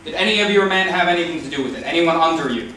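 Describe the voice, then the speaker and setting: A man's voice: on the high side, lively intonation, very fast, pushed and bright. A young man playing a stern, accusatory war-crimes interrogator in a staged reading. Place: meeting room, staged reading at a table. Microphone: far camera microphone. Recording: very echoey room, far microphone, hiss.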